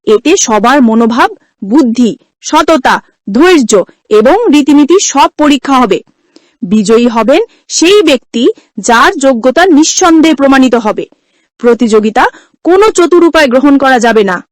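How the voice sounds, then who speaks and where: A woman's voice: sits high, lively intonation, a little slow, no room sound. A woman voicing an authoritative, fair-minded older mother-in-law in a cartoon story, firm and instructive. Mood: firm, commanding.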